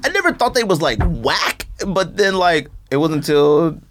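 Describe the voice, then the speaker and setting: A man with a slightly high, very animated voice chats casually on a podcast. He is slangy and quick to argue, with faint noise behind him. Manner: slangy, argumentative, quick to contradict. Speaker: sneaker podcast host or panelist. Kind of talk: casual animated banter about sneakers.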